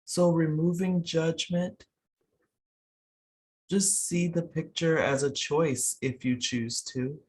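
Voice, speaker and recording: A person leading a guided meditation in a soothing, unhurried way, pausing between short phrases. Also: mid-range voice, breathy edge. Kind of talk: guided meditation, slow instructions.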